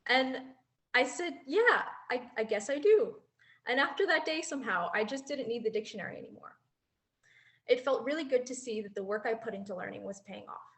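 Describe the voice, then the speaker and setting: A woman's voice: on the high side, animated, moderate pace, a bit bright. A woman in her twenties giving an earnest, reflective prepared speech as a former exchange student, laughing lightly now and then. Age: 20s. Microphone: handheld mic.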